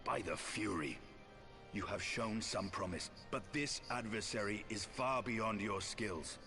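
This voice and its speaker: Grim proud dragoon in a fantasy game, deep voice, talks all archaic, loud music behind. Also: male voice, measured pace. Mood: stern, forceful. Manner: grave, formal archaic speech. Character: stern, proud dragoon knight, resolute dragon slayer. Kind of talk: fantasy video game cutscene acting.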